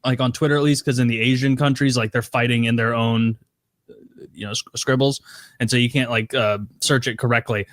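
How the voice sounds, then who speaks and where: A man's voice: mid-range voice, steady tone, brisk, dry recording, clean studio sound. Rambling, joking podcast co-host man. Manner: rambling, joking, many fillers. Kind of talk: casual comedic chat.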